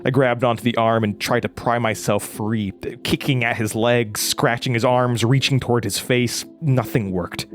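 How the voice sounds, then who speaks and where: An actor playing a haunted, frightened man recounting a horror ordeal in a halting, intense way, as in an audio drama. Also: medium pitch, fairly even intonation, soft background music, natural voice. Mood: haunted, tense. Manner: halting, stammering over words, intense. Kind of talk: first-person horror audio drama narration.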